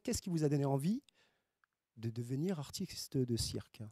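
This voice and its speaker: A man's voice: mid-range voice, lively intonation, normal speed, mellow, slightly rough, dry recording. A man interviewing two circus performers, asking questions politely and with some hesitation.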